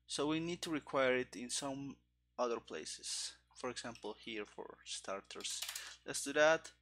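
A man in his thirties talking through live coding in a halting, muttering way, into a headset. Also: medium pitch, lively intonation, a bit bright, a little hiss or hum. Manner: halting, muttering to himself while working. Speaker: programmer, host of coding stream. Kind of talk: live coding commentary, thinking aloud. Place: indoor room at a computer desk. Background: keyboard typing and clicking.

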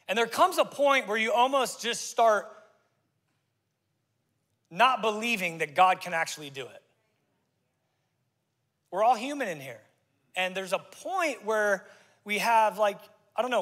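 High, bright-voiced pastor preaching. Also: male voice. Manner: energetic, joking with the congregation. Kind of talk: sermon. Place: large church stage with audience. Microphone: handheld mic. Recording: live sermon in a large hall with faint music.

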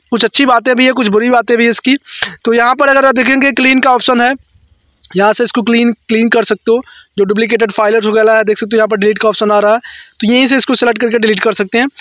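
Male phone-tutorial narrator, notably high pitch, dry close-mic sound, informal explanatory delivery. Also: bright, forceful tone, no room sound. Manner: explanatory, mixes English words into speech. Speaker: tech tutorial host.

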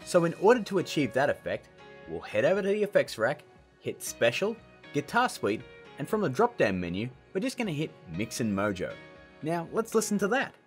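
A man's voice: on the high side, wildly swooping pitch, fairly quick. A man hosting a tutorial with scripted narration and chatty, informal asides. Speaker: tutorial host. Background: background music.

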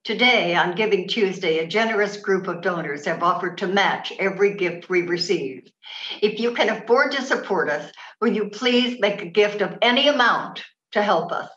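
A woman's voice: medium pitch, lively intonation, moderate pace, a bit bright. A woman in her seventies delivering a scripted fundraising appeal over a webcam. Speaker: theater representative appealing for donations. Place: home room indoors. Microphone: computer webcam on a video call. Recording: roomy, dull webcam sound.

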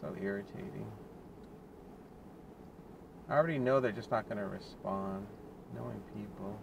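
A man's voice: on the low side, normal speed, vocal fry. A man, a delivery driver, narrating to his viewers while he drives, muttering in exasperation about his troubles. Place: inside a car. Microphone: dash cam in the car. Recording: car noise behind.

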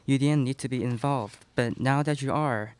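A man's voice: medium pitch, moderate pace, a little mellow, smooth, lightly acted. A young man playing a protective father and former vampire hunter in a live radio play. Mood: earnest. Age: young adult. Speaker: student radio play performer. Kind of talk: live radio theatre acting. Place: small classroom studio. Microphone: stand microphone, close.

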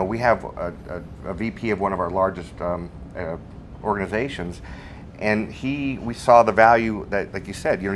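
A man speaking on a business panel in a studio, explaining in a measured way with frequent fillers. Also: on the low side, a little hiss or hum. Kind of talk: panel discussion.

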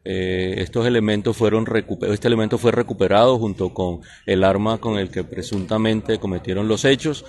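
A Colombian man, a police colonel, answering reporters in a formal, halting way outdoors into handheld microphones. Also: on the low side, fairly even intonation, creaky, a little mellow. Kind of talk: press interview answers. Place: outdoors at night outside a building.

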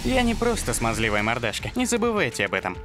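A man's voice: mid-range voice, huge pitch swings, fast talker. A man voicing a vain, scheming villain in a cartoon dub, with a smug, self-satisfied drawl. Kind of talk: animated series dub. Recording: loud music under the voice.

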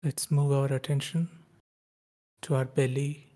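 Male meditation guide, soft dark tone with little pitch movement, unhurried short instructions separated by long pauses. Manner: slow, calm, spaced-out short phrases with long pauses.

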